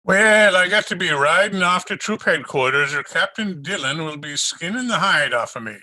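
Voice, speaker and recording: Man playing a sharp, blustery police sergeant. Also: on the high side, lively intonation, moderate pace. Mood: blustery, bossy. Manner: gruffly bossy, folksy, teasing. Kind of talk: old-time radio drama acting.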